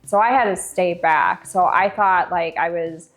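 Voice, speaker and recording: A woman's voice: lowish voice, measured pace. A woman telling a rambling, reflective story.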